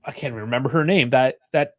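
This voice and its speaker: A man's voice: medium pitch, fast talker, a bit bright, no echo, clean studio sound. A man co-hosting a sports podcast, teasing the others with sarcastic remarks. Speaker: podcast co-host. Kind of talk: casual sports podcast banter.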